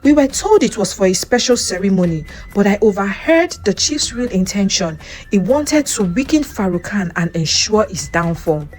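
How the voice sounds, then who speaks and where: A woman's voice: mid-range voice, expressive, moderate pace, darkish tone, natural voice. A woman voicing a frightened, earnest village man in a folktale confession. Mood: fearful. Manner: fearful, earnest. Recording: music under the voice.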